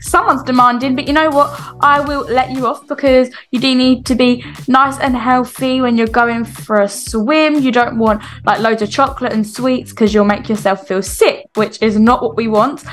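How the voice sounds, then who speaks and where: A woman's voice: high voice, normal speed, a bit bright, soft background music. A young woman with a British accent, chirpy and exclaiming, doing a game roleplay vlog as the mum. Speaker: video creator playing a mum in a game roleplay.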